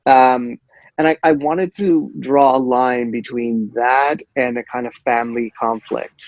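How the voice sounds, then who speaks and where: A filmmaker man answering questions in a halting way, with many repeats and fillers. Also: medium pitch, fairly even intonation, no room sound, lo-fi sound. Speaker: short filmmaker. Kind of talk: casual interview answer.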